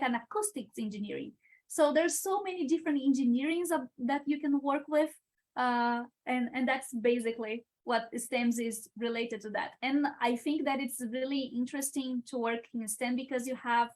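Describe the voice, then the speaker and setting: A young woman in her twenties with a Brazilian Portuguese accent, answering questions on a video call and pausing often to find her words. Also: a little high. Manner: hesitant, searching for words with many uh's and restarts. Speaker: guest, international engineering student. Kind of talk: live-stream Q&A answers.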